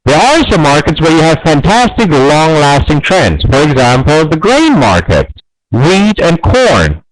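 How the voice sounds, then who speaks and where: A man's voice: on the high side, huge pitch swings, a little slow, no room sound, low-quality recording. German-accented man teaching a webinar. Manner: emphatic, repeats key points, many fillers. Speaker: trading educator. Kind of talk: live webinar lecture.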